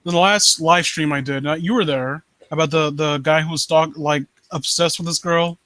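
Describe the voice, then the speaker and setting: A man hosting a live stream, reading and commenting on stories in a halting, rambling way and often rephrasing himself. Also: on the high side, fairly even intonation, fairly bright tone, dry recording. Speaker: live stream host reading and critiquing amateur horror stories. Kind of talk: story read-aloud and casual commentary.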